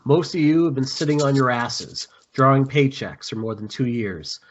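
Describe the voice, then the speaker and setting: A man's voice: mid-range voice, animated, moderate pace, highs cut off, slight background noise, natural voice. A man playing a stern, commanding squad leader who gives clipped military orders in a script read. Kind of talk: screenplay table read.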